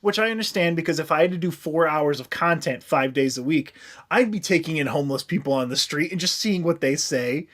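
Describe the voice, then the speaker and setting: A man's voice: a little high, expressive, fairly quick, a bit bright. A man co-hosting a comedy podcast with casual, jokey, profane riffing.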